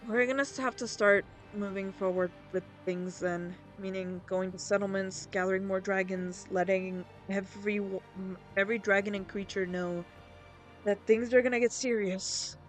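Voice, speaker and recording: Woman voicing protective older sister, mid-pitched. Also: fairly even intonation, moderate pace, soft background music, lightly acted. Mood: resolute. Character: fiercely protective, determined older sister with ice powers. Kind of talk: amateur Minecraft roleplay voice acting.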